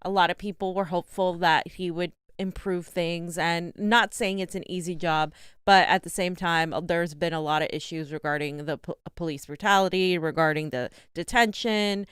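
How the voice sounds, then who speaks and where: A woman's voice: fairly low pitch, steady tone, normal speed, fairly bright tone, smooth, no echo, studio quality. A woman co-hosting a live talk stream, teasing her partner and plainly explaining background for viewers. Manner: teasing and matter-of-fact, explaining context plainly. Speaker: co-host. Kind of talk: casual live-stream chat.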